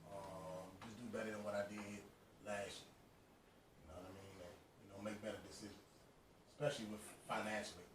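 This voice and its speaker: A man in his thirties hosting a casual group podcast, talking quickly with lots of fillers. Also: lowish voice, level tone. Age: 30s. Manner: quick, filler-heavy talk, "you know what I'm saying". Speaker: podcast host. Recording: roomy, distant mic with noise.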